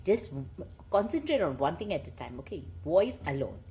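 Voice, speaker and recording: Indian-accented woman storyteller with lively, swinging pitch. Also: normal speed, a little hiss or hum. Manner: chuckling, animated, informal asides. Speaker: storyteller and host. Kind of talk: casual chat mixed with read-aloud moral tales.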